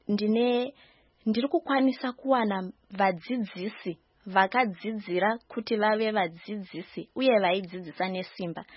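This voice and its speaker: A young woman with a Zimbabwean accent tells her story as a radio studio guest, earnest and full of small hesitations. Her voice is a little husky, recorded dry, at a middle pitch. The sound is slightly dull. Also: no room sound. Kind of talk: interview answers in English and Shona.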